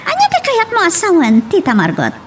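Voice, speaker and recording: A high-voiced, inquisitive young woman in an Ilocano radio drama. Her delivery swings widely in pitch and keeps pressing for answers. She has an Ilocano accent, with light noise behind her. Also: huge pitch swings, a little fast, exaggerated voice. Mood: suspicious, probing.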